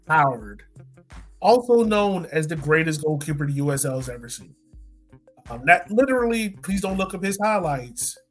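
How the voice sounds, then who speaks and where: A man hosting a casual sports podcast, talking in an informal, enthusiastic way. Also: sits high. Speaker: podcast host.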